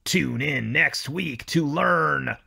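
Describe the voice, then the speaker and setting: A man's voice: on the high side, takes it slowly, creaky, sharp tone, slightly rough, full character voice. A man playing a grave, theatrical announcer narrating a melodramatic radio serial with suspense. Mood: suspenseful.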